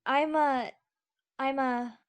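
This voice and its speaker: A woman's voice: high voice, steady tone, drawn-out words. A woman playing a polite, puzzled little girl who speaks primly in a dramatised audiobook. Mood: indignant. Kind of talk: dramatised audiobook character acting.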